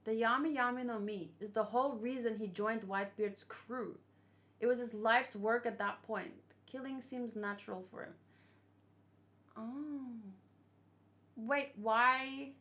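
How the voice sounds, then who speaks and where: A woman's voice: fairly high pitch, steady tone, unhurried, fairly bright tone. A woman chatting casually and unscripted, thinking aloud and asking questions as she hosts a reaction video. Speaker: manga reaction host.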